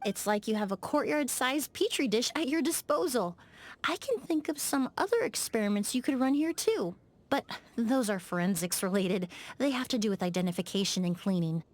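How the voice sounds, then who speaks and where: An actress playing a polite, precise young woman perfumer in a video game, speaking in a measured, articulate and frank way. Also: on the high side, animated. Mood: thoughtful, precise. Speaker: game character voice actor. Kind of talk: scripted video game dialogue.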